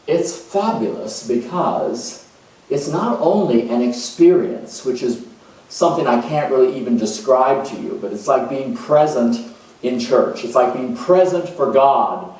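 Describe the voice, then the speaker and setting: An actor playing a calm, spiritual hospice coordinator who speaks in an earnest, searching way with many pauses. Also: male voice, mid-range voice, lightly acted. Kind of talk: dramatized monologue. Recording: very echoey room, mic far off.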